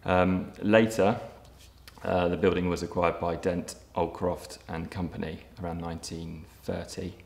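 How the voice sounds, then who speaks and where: A man in his thirties, a property developer giving a documentary interview, speaking in a measured way with frequent ums and restarts. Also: low-pitched, little pitch movement, vocal fry. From England. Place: empty brick factory room, indoors, large. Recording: echoey empty room, faint ambience.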